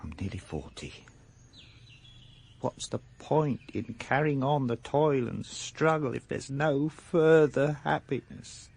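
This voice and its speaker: An actor playing an irritable, discontented English shopkeeper of nearly forty in a radio drama, blustering and aggrieved. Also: male voice, mid-range voice, expressive, slow pace, gravelly, a little mellow, a little hiss or hum. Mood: gloomy, wistful. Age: 30s.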